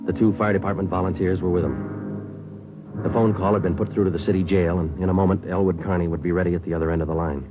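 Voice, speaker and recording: A tinny old radio recording with music carries an actor as a calm male police sergeant. His low voice is deadpan and terse, in 1940s crime drama style. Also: fast, close to an everyday voice. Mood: deadpan narration. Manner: clipped, deadpan, matter-of-fact.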